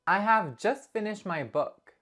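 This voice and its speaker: A woman teaching an online English lesson in an animated, expressive way as she explains to viewers. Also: mid-range voice, takes it slowly.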